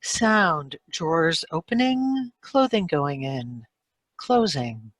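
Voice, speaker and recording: Low-voiced woman reading sound cues. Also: very animated, takes it slowly, no echo. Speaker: sound effects reader. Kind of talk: clipped scripted stage directions.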